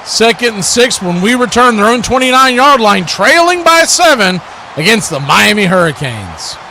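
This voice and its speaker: A man's voice: sits high, big pitch swings, moderate pace, noisy background. A man hosting a live stream with play-by-play sports commentary and chat to listeners.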